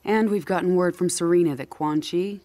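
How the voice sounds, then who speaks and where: A woman's voice: on the low side, a little fast, mellow, natural voice. A woman playing a stern, determined special forces general in a video game cutscene, blunt and businesslike. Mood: urgent, frank.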